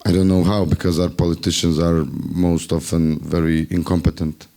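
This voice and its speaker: A man's voice: low voice, little pitch movement, normal speed, vocal fry, warm tone. A man with a Bosnian accent sharing wry, ironic remarks in a group discussion, sometimes searching for words. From Bosnia and Herzegovina. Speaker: festival workshop participant.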